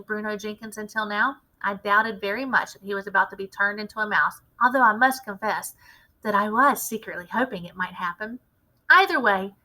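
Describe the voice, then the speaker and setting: A woman reading a children's story aloud as an expressive storyteller. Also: mid-range voice, poor audio. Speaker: read-aloud storyteller, teacher.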